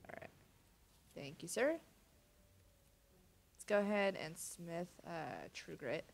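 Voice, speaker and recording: Low-voiced woman with a cold. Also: animated, slow pace, vocal fry. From United States. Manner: laid-back, muttering to herself while playing. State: stuffy with a cold, sniffly. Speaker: gaming channel host. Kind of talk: casual let's-play commentary. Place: home room, seated at computer.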